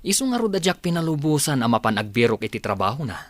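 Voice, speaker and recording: A man's voice: medium pitch, sing-song, fast talker, dry recording, studio quality, natural voice. A Filipino man playing a stern, jealous young husband in a radio drama, curt and commanding. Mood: defensive. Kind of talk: Philippine radio drama acting.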